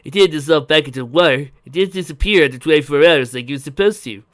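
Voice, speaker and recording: A man voicing an excitable old uncle who is an inventor, explaining things with emphasis. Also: a little high, brisk, pitched above the performer's own voice. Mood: exulting, earnest.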